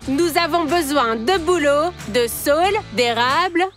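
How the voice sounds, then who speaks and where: A woman's voice: high voice, a little slow, fairly bright tone, faint music behind. A woman playing a cheerful, sensible, determined child in a French cartoon dub, explaining things encouragingly. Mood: earnest, determined.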